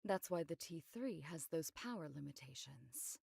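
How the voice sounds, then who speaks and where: A composed, discreet woman investigator from an anime game. Her voice sits slightly low with a clear fry in it. She briefs in a measured, matter-of-fact way, recorded close and dry. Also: animated, darkish tone, no room sound, exaggerated voice. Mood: serious, discreet. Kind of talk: scripted anime game voice acting.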